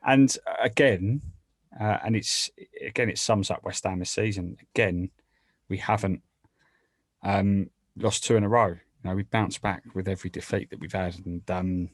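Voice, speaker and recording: A man's voice: sits low, normal speed, no room sound. A man giving casual football opinions as a podcast panellist, hesitantly repeating words as he builds each point.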